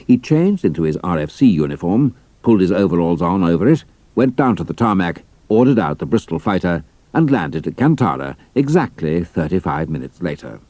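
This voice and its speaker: A British man narrates a scripted adventure tale. His voice is very deep and dark-toned, with animated rises and falls that carry the storytelling. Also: fairly quick, no echo.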